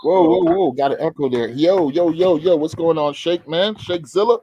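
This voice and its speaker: A middle-aged man from the Caribbean, a guest who tells stories in a relaxed, talkative way on a casual remote podcast chat. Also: on the high side, normal speed, gravelly, rough recording. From the Caribbean; born in the Bahamas, grew up in Barbados.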